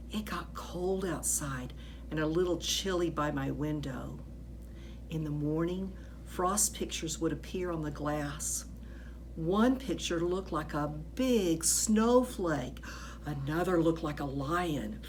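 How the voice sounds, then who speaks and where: Brief: female storybook reader, lowish pitch, unhurried and expressive, dramatic phrasing, slight background noise. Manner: dramatic, deliberate phrasing, drops into whispers. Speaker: school staff reader of children's books. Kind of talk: expressive children's storybook read-aloud.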